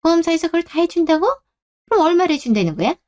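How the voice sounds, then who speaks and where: A woman's voice: very high-pitched, expressive, very fast, a little mellow, voice pushed up. A woman playing an overbearing older mother-in-law, harsh, nagging and indignant, in a radio drama. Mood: greedy surprise. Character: scolding, overbearing older mother-in-law, quick to take offense. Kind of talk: Korean radio drama acting.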